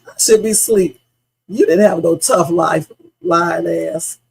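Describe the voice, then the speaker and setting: Gravelly, husky woman in her fifties rambling. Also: fairly low pitch, a little slow. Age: 50s. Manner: blunt, salty, meandering storyteller. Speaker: livestream host. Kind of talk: rambling casual livestream chat.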